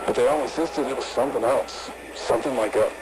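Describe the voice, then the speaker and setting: A man playing an uneasy, frightened work-site foreman, speaking haltingly over a radio in an analog horror recording. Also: medium pitch. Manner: halting, weary, unsettled. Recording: very noisy radio log, vehicle sounds.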